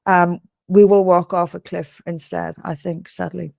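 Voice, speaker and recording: A woman's voice: lowish voice, little pitch movement, a little slow, vocal fry, a little mellow, no echo, studio quality. A woman from Dublin with an Irish accent, giving earnest political commentary as a guest in a live discussion. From Dublin, Ireland. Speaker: interview guest, political activist.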